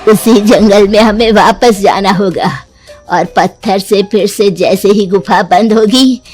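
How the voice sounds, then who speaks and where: A woman acts out an older cartoon mother-in-law. She explains earnestly at a slow, measured pace and medium pitch. Music plays behind her. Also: fairly even intonation, a little mellow, natural voice. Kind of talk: Hindi cartoon story acting.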